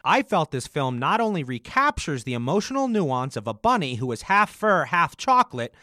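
A man's voice: on the high side, sing-song, normal speed, bright, forceful tone, no room sound, clean studio sound. A man playing an earnest, easily offended film critic co-hosting a comic radio review show.

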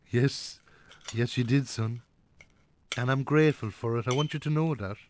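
An actor playing a gentle, wistful Irish father of a grown son in a naturalistic radio drama. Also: medium pitch, unhurried, slight background noise, lightly acted. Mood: fond, wistful.

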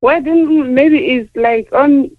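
A woman's voice: high voice, slow, no room sound. A woman from the Free State in South Africa, giving short, hesitant answers as a radio guest on a call. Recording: dry, dull phone line.

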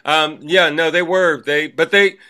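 A man's voice: on the high side, steady tone, a little slow, sharp tone, smooth. A man chatting casually as a podcast co-host. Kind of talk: casual chat.

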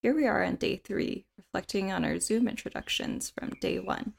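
A woman reading scripted podcast narration in a measured, reflective way. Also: mid-range voice, gravelly.

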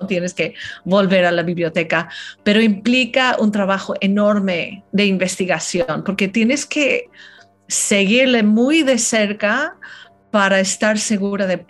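Reflective woman translator with a lilt. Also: medium pitch. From Philippines and California. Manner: reflective, frequent filler "¿no?" and restarts. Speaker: literary translator and scholar. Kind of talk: thoughtful interview answers.

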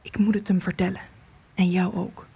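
An actress in a radio play, playing an anguished, guilt-ridden woman in hiding with a secret lover, breathy, intense and pleading. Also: medium pitch, level tone, normal speed, dark tone. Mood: troubled, confessing.

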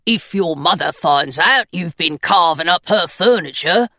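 A woman's voice: lowish voice, animated, measured pace, bright, forceful tone, no room sound, pitched below the performer's own voice, full character voice. A woman voicing a gloomy, teasing male gargoyle in a storybook reading, with dry grumbling asides.

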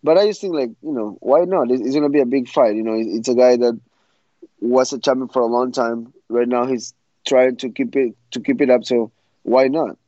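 Blunt man, mellow dull sound. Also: mid-range voice, steady tone, a little fast, dry recording. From Ecuador. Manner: frank, blunt, storytelling with repeated restarts. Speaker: guest fighter. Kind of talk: interview answers.

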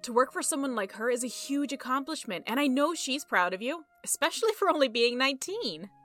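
A proud, kind-hearted young woman scientist with a short fuse. Her voice is clearly bright and fairly high. Faint music sits behind this audio drama performance. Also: expressive, fairly quick. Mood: warm, friendly. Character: young woman biologist, proud, quick-tempered but kind.

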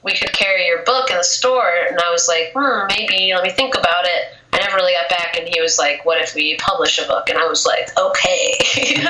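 A woman's voice: fairly low pitch, normal speed, pushed and bright. A young woman poet with a cold, chatting casually in an interview and filling her speech with "like". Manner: casual, lots of filler "like". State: has a cold, losing her voice. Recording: echoey room, faint noise.

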